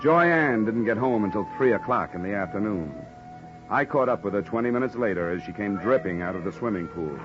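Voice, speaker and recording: A hard-boiled American private investigator played by a male actor in a radio noir drama, with a low voice and a wry, wisecracking delivery. The recording is dull old radio, with clear music behind. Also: expressive, brisk, close to an everyday voice. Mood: sardonic narration. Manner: sardonic, wisecracking. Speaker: insurance investigator, narrator and lead. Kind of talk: old-time radio noir detective acting.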